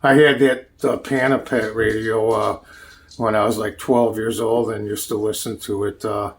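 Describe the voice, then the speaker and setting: A man giving a casual, unscripted show-and-tell as a hobbyist, halting often and searching for names as he goes. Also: fairly low pitch, level tone, slight background noise. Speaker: hobbyist showing a radio collection.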